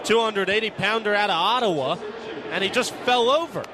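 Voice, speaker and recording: A man's voice: high voice, brisk, noisy background. A man giving conversational color commentary as an analyst on a football broadcast.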